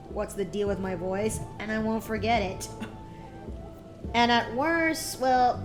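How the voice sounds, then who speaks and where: A young woman streamer chatting casually, rambling with many restarts and fillers. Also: fairly high pitch. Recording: music playing behind.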